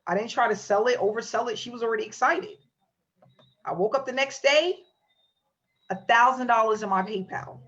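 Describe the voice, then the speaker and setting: A woman hosts a motivational online webinar, telling her own story with animated energy. Her voice sits a little high. The sound is dull and lo-fi, like audio from an online call. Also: normal speed, long pauses. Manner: animated storytelling, acts out quotes. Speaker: webinar host.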